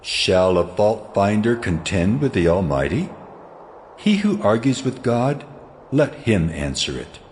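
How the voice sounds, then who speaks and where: A man's voice: fairly low pitch, very animated, a little slow, darkish tone, slight background noise. A man playing a stern, majestic God in a dramatized scripture reading, solemn and declamatory as he challenges a mortal. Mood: stern, challenging. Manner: solemn, declamatory, rhetorical questioning.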